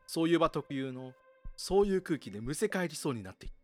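A man's voice: fairly high pitch, very animated, fast talker, faint music behind. A man reading scripted first-person narration for a radio drama, with dramatic, suspenseful storytelling.

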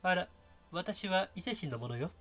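A man's voice: sits high, animated, moderate pace, a bit bright, soft background music. A man voicing a prim, possessive, devout young girlfriend with coquettish feminine phrasing in a comedy radio drama. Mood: clingy.

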